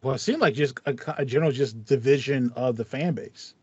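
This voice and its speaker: American male podcast co-host, 30s, mid-pitched, slangy storyteller, dry and slightly muffled audio. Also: a little fast, slightly rough, dry recording. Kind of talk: casual chat about video games. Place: small home room with foam wall panels. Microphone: headset, video call.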